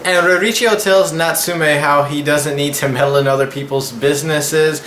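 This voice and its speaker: Bright-voiced young man, echoey room. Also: fairly high pitch, fairly even intonation, moderate pace. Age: 20s. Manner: slangy, rambling, loose phrasing. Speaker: anime reviewer and channel host. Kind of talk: casual vlog episode recap. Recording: echoey room with faint background noise.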